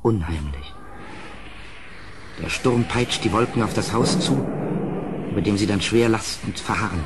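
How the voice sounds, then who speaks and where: A man playing an anxious, well-mannered houseguest in a gothic horror radio play, with a grave, literary delivery and a husky voice. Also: lowish voice, measured pace, background noise, natural voice. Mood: uneasy.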